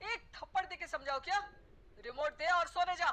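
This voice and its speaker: A woman's voice: very high-pitched, normal speed, a bit bright. A woman voicing a grumpy, demanding husband and father in a cartoon, with an irritable, bossy, complaining delivery. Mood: irritated. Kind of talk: cartoon dialogue voice-over in everyday Hindi.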